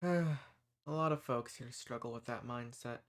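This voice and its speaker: A man's voice: mid-range voice, measured pace, fairly bright tone, natural voice. A man playing a kind, folksy human rebel who earnestly reassures and shelters monsters in a scripted audio roleplay. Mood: gentle, comforting. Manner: folksy, reassuring, earnest, uses y'all and ain't. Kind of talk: scripted audio roleplay, speaking softly to the listener.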